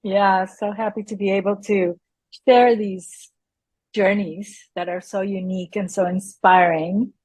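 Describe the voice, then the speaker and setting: A woman's voice: fairly low pitch, darkish tone, husky, dry recording. A woman interviewing a guest on a video call, asking questions with enthusiastic, effusive praise.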